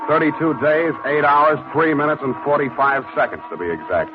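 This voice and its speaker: An old, muffled radio broadcast with prominent music features an actor as a male hard-boiled detective. His voice sits fairly low, and he talks in a dry, sardonic, unflappable way, as in a 1940s crime serial. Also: moderate pace, close to an everyday voice. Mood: brisk, wry. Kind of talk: 1940s radio detective drama, dialogue and narration. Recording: dull old radio recording.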